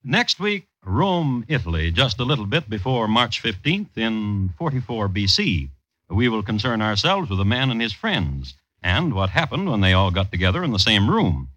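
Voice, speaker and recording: An actor playing a wry crime storyteller, narrating a vintage radio drama in a dry, deliberate, theatrical manner. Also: male voice, fairly low pitch, big pitch swings, fairly bright tone, dry recording, lo-fi sound. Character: wry, measured male storyteller of true crime tales. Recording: vintage radio recording with music.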